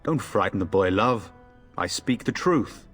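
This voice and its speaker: Confident loving warrior dad in a video game, low voice, hall reverb. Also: male voice, animated, unhurried, fairly bright tone, husky, exaggerated voice. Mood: gentle, confident. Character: confident, affectionate warrior father going to war. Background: music.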